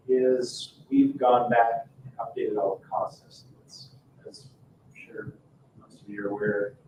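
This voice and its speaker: A man with a mid-pitched voice gives an informal briefing to a public board. His phrasing is halting and hesitant. He sounds distant from the microphone, in an echoey room with faint noise. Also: vocal fry, darkish tone. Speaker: consultant presenting to a board. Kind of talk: informal presentation at a public meeting. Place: indoor town board meeting room.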